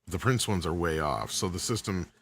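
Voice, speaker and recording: A man with a fairly low voice talks loosely on a podcast panel. He rambles a bit and thinks aloud as he goes. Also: expressive, fairly quick, vocal fry, fairly bright tone, breathy edge. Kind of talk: casual panel chat.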